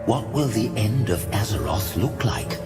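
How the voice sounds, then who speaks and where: An actor playing a sly, defiant orc warlock in a fantasy audio drama, gravelly, measured and mocking. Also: male voice, lowish voice, animated, loud music behind the voice. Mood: dark ambition.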